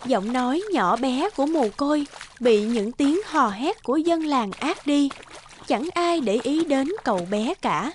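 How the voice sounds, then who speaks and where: A woman's voice: high-pitched, animated, unhurried, a little hiss or hum. A woman reading scripted narration for a children's fairy tale.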